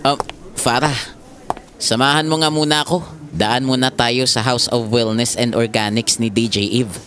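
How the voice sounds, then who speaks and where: A man's voice: mid-range voice, fairly even intonation, moderate pace, background noise, lightly acted. A man acting a teasing, goofy teenage schoolboy in a Tagalog audio drama. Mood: casual, teasing.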